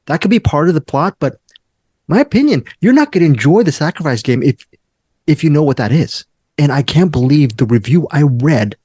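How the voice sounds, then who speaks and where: A man's voice: fairly high pitch, expressive, brisk, darkish tone, breathy edge, dry recording, clean studio sound. A man hosting a movie review show, chatting casually and checking in with his co-hosts. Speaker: host of a film review show.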